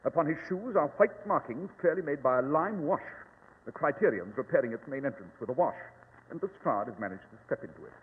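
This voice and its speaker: Posh english detective, haughty and precise, very swoopy voice, tinny old radio play w/ long reverb. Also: male voice, medium pitch, expressive, a little fast, breathy edge. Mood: cool, knowing. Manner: precise, pompous, theatrical delivery. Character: brilliant, haughty English gentleman detective, crisp and commanding. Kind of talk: old-time radio drama acting.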